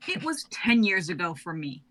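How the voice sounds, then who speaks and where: A woman's voice: fairly low pitch, sing-song, normal speed, a bit bright. A woman voicing Strata, a grieving young gunslinger, speaking angrily. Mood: angry.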